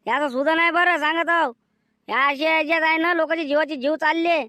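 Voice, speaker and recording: High-pitched, dry village peacemaker played by a man. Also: fairly even intonation, fast, pushed and bright, no room sound. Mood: admonishing, measured. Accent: rural Vidarbha Marathi. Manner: admonishing, mediating. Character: exasperated village man, peacemaking elder neighbor. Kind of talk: village cartoon comedy skit.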